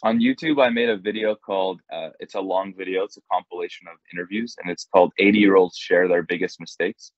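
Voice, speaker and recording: A young man from Canada, a guest on a video call, telling stories in a relaxed, chatty way. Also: low voice, brisk, hardly any pauses, no echo. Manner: relaxed and chatty, acts out quoted exchanges. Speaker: guest, content creator. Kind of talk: casual storytelling in an interview. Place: indoors, small plain room. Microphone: earbuds on a video call. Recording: dull, dry video call audio.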